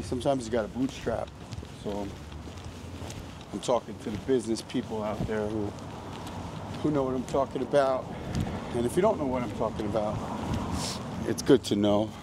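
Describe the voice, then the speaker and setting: An American man rambles casually through a walking vlog in an easygoing, slangy way. His mid-pitched voice is a little raspy, as he is getting over being sick. He speaks into a handheld mic outdoors, with clear street noise around him. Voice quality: slightly raspy. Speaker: vlogger hosting a walking tour. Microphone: handheld microphone. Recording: noisy outdoor street ambience.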